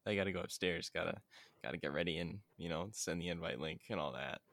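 Laid-back young man, low dry voice. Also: quick pace, vocal fry, fairly bright tone, no echo. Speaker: podcast host. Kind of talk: casual chat.

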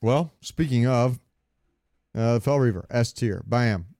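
A man's voice: mid-range voice, takes it slowly, warm tone, no echo, clean studio sound. A man co-hosting a podcast, chatting casually about video games in a rambling way with some swearing. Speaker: podcast co-host.

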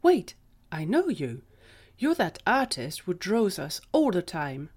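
A woman's voice: fairly low pitch, big pitch swings, takes it slowly, a little mellow, breathy edge, full character voice. A woman voicing an eager, jumpy, easily frightened man in an audio story. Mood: hopeful, eager.

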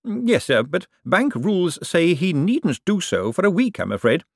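A man's voice: high-pitched, sing-song, moderate pace, gravelly, no echo, clean studio sound, voice pushed up, exaggerated voice. A man voicing a deferential, joyless senior bank clerk in an audiobook, formal, hesitant and guarded. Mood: guarded, polite. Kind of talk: audiobook character dialogue.

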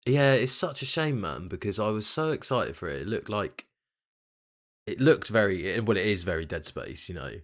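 Deep, slightly croaky British man. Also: big pitch swings, normal speed. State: tired and groggy after a night out. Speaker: podcast host. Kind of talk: casual chat about video games.

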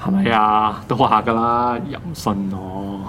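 An actor playing an excitable, insistent office colleague in a radio drama. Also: male voice, lowish voice, measured pace, slight background noise. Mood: smug. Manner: excited, urging. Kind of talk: Cantonese radio drama acting.

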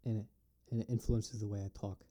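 Upstate new york guy rambling to camera, low voice, real dark and mellow tone, keeps restarting. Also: little pitch movement. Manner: rambling, halting, thinks aloud. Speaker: vlogger answering questions. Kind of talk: casual solo musing.

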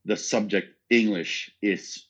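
A man's voice: lowish voice, fairly even intonation, slow, a bit bright, slightly rough. A young man from Norway, a guest chatting casually in an interview, often stammering over restarts and laughing. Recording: dull lo-fi sound.

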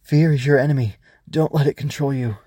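A brave little female bug creature from a storybook, voiced by a man. She is protective and instructive, speaking in a lifted pitch with urgent encouragement, close and dry. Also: brisk, warm tone, breathy edge, no room sound, lightly acted. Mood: firm. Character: Swoosh, small female glowing Growbug, brave protective encouraging companion.

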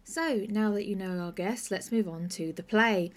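A woman speaks as a podcast host. Her voice sits at a medium pitch for a woman, in an interview and introduction style. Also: moderate pace.

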